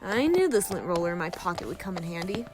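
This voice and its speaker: A woman playing a cheeky, irreverent, excitable friend who teases and wisecracks in an audio drama. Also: lowish voice, quick pace, over music. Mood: teasing, excited. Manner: wisecracking, teasing.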